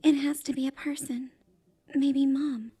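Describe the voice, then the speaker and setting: A woman playing a scared, lonely young girl in hiding, speaking softly and breathily in an audio drama over music. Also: high-pitched, level tone, measured pace. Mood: anxious hope. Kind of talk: audiobook drama character acting.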